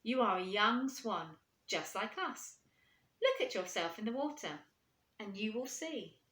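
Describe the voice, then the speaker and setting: A woman's voice: medium pitch, sing-song, unhurried, fairly bright tone. A woman voicing friendly, welcoming swans in a storybook, calling out to a companion. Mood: reassuring. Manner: exclamatory, calling out. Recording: echoey room.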